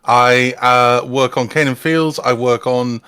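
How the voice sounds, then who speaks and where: A man's voice: mid-range voice, fairly even intonation, slow, sharp tone, clean tone. A man chatting casually on a livestream, hesitant as he talks. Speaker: audio drama maker.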